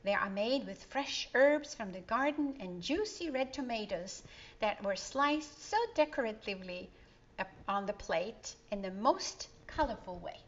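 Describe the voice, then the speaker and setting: Slow, sing-song woman storyteller. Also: medium pitch. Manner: gentle, expressive, sing-song. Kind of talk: children's story reading. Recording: dull lo-fi recording.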